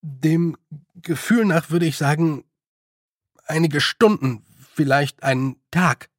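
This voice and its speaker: A man's voice: on the high side, slow, dry recording, clean studio sound. A man voicing a young boy in an audiobook, lordly but naive and nervous, with a hesitant, stammering manner. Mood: uneasy. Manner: hesitant, stammering, slightly haughty. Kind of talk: audiobook character voice.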